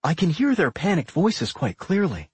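A narrator voicing an excitable, outspoken human girl in a fantasy audiobook, expressive and dramatic. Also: mid-range voice, normal speed, slightly rough, dry recording, voice pushed up. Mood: uneasy.

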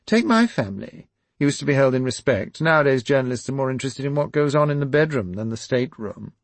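A man's voice: medium pitch, animated, quick pace, no room sound, voice pushed up, natural voice. A man voicing a pampered royal princess who speaks in an airy, affected manner. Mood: aggrieved.

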